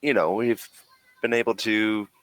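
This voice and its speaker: An evasive corporate spokeswoman in a tabletop game, played by a man in a low, bright voice. The delivery is slow, halting and diplomatic, with a smooth self-promoting streak. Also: fairly even intonation. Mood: evasive, promotional.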